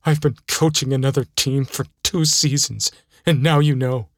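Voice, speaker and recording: A man's voice: mid-range voice, steady tone, normal speed, no echo, studio quality, natural voice. A man voicing a self-pitying youth baseball coach in a comic story reading. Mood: sulky.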